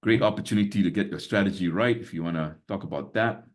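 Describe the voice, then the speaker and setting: A man's voice: sits low, fast. A man in his sixties who grew up in Singapore, hosting a webinar on a video call in a chatty way, full of fillers and small chuckles. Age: 60s. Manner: chatty, many fillers, chuckles between points. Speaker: webinar host and business mentor. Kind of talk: casual live webinar talk.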